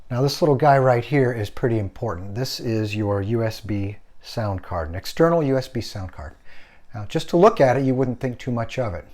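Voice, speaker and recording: A man reviewing a gaming headset, showing its parts and explaining them in a relaxed, conversational way with a few "uh" fillers. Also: mid-range voice, moderate pace. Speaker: tech product reviewer. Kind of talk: hands-on product review.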